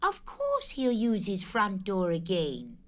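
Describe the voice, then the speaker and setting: A woman's voice: medium pitch, huge pitch swings, slow pace, voice pushed up. A woman voicing a kind young boy in a storybook reading, speaking in a fond, matter-of-fact way. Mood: kindly.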